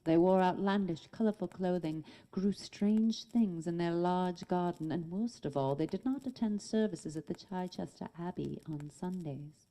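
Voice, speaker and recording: A woman narrates a story in a very dark, mellow voice. It sits a little low and follows a scripted storytelling style. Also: normal speed, few pauses.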